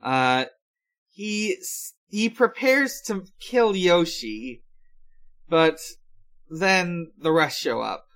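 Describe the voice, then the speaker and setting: Man, slightly high voice, slow pace, hesitant, casual podcast co-host, explanatory recap. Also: lively intonation, fairly bright tone. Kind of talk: casual episode recap with co-hosts.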